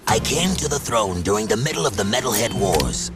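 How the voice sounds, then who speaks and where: A man's voice: lowish voice, animated, fairly quick, lightly acted. An actor playing a proud, wise exiled desert king in a video game, speaking like an orator. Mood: stately encouragement. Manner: stately, oratorical. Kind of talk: dramatic video game acting. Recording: music behind the voice. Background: music.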